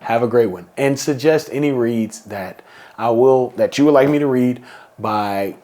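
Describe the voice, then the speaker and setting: A man reading a children's picture book aloud in a slow, playful storybook manner. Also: medium pitch, warm tone.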